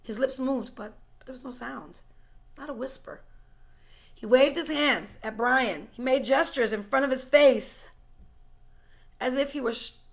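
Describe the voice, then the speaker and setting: A woman reading a story aloud in an expressive, dramatic way, stressing key words and sometimes dropping to a hush. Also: high voice, unhurried, creaky, pauses often. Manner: dramatic, emphatic, dropping to hushed tones. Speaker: teacher reading a book aloud.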